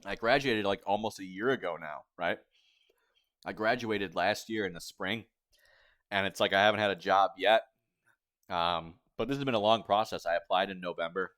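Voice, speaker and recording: A young man in his twenties talks casually to his livestream chat, his voice sitting somewhat low. He rambles and circles back on himself as he answers viewers. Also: normal speed, a bit bright. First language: English. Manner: rambling, chatty, reads chat aloud. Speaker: streamer.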